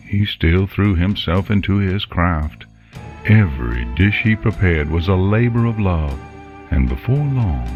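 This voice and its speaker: A man playing a grave, grandiose storyteller, narrating a legend with solemn, theatrical, measured phrasing. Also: low-pitched, full character voice. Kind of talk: dramatic scripted narration. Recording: dull sound under loud music. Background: music.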